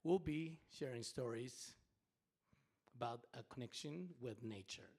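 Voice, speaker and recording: A middle-aged man from Japan, a native Japanese speaker, hosting a storytelling night on a theater stage in a halting, chatty way with frequent laughs and fillers. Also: medium pitch, a little mellow, breathy edge. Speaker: host and storyteller. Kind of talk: casual live stage hosting.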